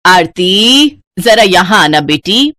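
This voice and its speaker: A woman's voice: medium pitch, very animated, slow pace, sharp tone, heavily acted. A woman voicing an affectionate older mother-in-law, a coaxing family matriarch in a cartoon story. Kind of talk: Hindi cartoon story dialogue.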